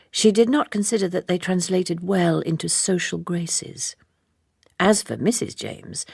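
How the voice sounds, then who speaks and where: A woman narrating a literary audiobook in a measured, formal and precise way. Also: fairly low pitch, vocal fry, a little mellow, slightly rough, no echo, studio quality.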